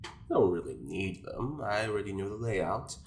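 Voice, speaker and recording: A man's voice: lowish voice, expressive, moderate pace, vocal fry, reverberant, slight background noise. A man playing a haughty, theatrical prisoner who strikes deals with arch mock offense in an audio drama. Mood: arch, mock-offended.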